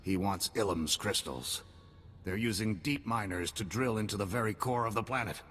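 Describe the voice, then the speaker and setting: A man's voice: normal speed, a bit bright, close to an everyday voice. An actor playing a grim male field commander who gives clipped, commanding orders in a video game cutscene. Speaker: military commander. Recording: long reverb, faint rumble.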